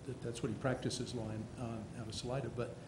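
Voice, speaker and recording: Man, hesitant rambling talk, roomy echo, faint rumble. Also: little pitch movement, a little fast. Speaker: resident at public meeting. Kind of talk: public comment at a meeting.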